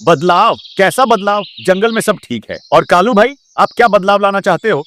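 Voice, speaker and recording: A man's voice: high voice, normal speed, a bit bright. A man voicing a small, innocent but outspoken rabbit in a cartoon moral story, earnest in manner. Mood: puzzled. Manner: earnest, questioning.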